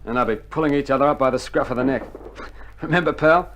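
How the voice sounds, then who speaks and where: An actor playing a cheeky, excitable younger Australian infantryman in 1960s radio drama style, full of slang. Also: fast talker, natural voice. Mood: laconic, wry. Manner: slangy, laconic, then excitable. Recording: very noisy old vinyl with artillery rumble. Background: artillery and battle sound effects.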